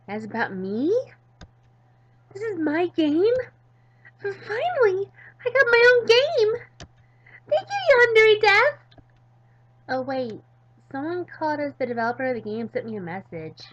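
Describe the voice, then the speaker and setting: A woman voicing a goofy, excitable, ditzy teenage schoolgirl from a visual novel, rambling and dramatic. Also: very high voice, unhurried, pauses often, dull audio, voice pushed up, heavily acted. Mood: incredulous, then overjoyed, then puzzled, rambling.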